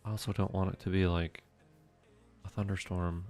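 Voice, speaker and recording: A young man streaming a game from home, chatting with his viewers in a soft, meandering way. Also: low voice, level tone, moderate pace, faint music behind. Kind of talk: casual gameplay commentary.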